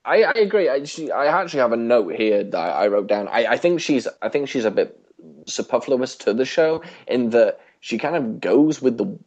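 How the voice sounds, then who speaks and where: A man co-hosting a podcast in casual discussion, talking in a hesitant, halting way and rephrasing as he goes. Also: mid-range voice, expressive, darkish tone, breathy edge, muffled recording. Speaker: podcast co-host.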